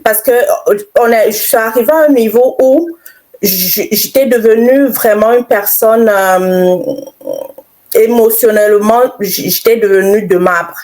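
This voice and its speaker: A middle-aged woman from Cameroon giving personal testimony in an interview, halting and reflective, repeating phrases for emphasis. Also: on the high side, darkish tone, poor audio. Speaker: interview guest, author.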